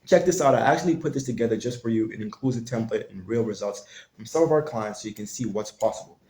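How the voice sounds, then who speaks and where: Man, marketing coach type, talks loose and mellow, plenty of restarts, roomy echo on the track. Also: mid-range voice, fast. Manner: loose and conversational, frequent restarts and fillers. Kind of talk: casual tutorial talk. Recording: echoey room.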